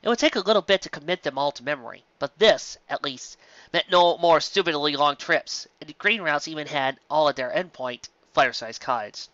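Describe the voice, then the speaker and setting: A narrator reading a scripted story aloud in an animated storytelling style, on a slightly dull home recording. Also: mid-range voice, fairly quick, dry recording.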